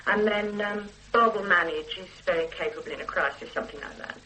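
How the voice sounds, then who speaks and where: A witness answering police questions in an old radio play. Her voice is bright and her delivery careful and measured as she recalls what was said. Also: on the low side, a little hiss or hum, poor audio. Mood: recalling. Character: middle-aged woman witness recalling a phone call. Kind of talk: 1970s radio drama acting.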